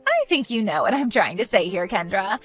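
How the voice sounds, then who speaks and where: A woman's voice: mid-range voice, very animated, a little fast. Woman playing a catty sister-in-law, wildly swooping intonation, condescending, melodramatic story acting, music behind. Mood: smug mockery. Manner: exaggerated, condescending, incredulous. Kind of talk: dramatized text-message story voice acting.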